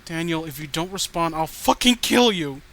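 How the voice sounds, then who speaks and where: Man putting on an unhinged clingy girlfriend, pitched up. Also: expressive, normal speed, pushed and bright. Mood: desperate pleading. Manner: pleading, volatile, cloying. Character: unhinged clingy murderous girlfriend, possessive, swinging from rage to sweetness. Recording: noticeable background noise.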